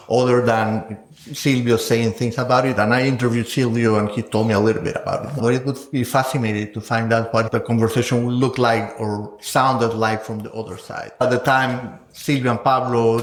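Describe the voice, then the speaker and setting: A middle-aged man talking reflectively and conversationally as an interviewed scholar, explaining his thinking thoughtfully. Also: medium pitch. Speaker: guest scholar interviewed about research. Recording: roomy, echoing sound.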